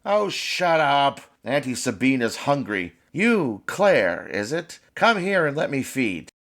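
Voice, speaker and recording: A man voicing a wicked female witch who feeds on children, speaking in a gloating, cruel, drawn-out way. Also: mid-range voice, big pitch swings, a bit bright, heavily acted.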